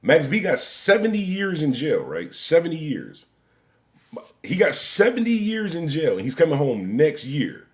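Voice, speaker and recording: Animated man in his forties, rambling. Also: on the high side, unhurried. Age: 40s. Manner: rambling, joking, repeats himself; "bro" and "gang" at line ends. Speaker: stream host. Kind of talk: casual live-stream commentary.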